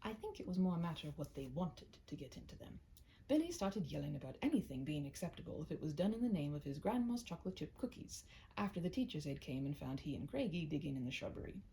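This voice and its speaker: Woman acting a polite, animated schoolteacher. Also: sits low, quick pace, a little hiss or hum, poor audio. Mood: amused. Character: polite, wryly amused woman school yard teacher.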